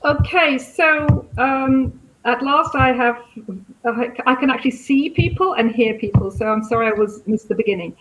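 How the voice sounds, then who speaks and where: A woman's voice: fairly high pitch, normal speed, a bit bright, a little hiss or hum, rough recording. A woman moderating a live question-and-answer session, improvising and checking as she goes.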